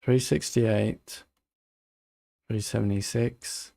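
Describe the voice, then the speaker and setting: Slow-talking man with a low, mellow voice. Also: steady tone, creaky. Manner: muttering to himself, thinking aloud. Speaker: game streamer. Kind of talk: casual playthrough commentary.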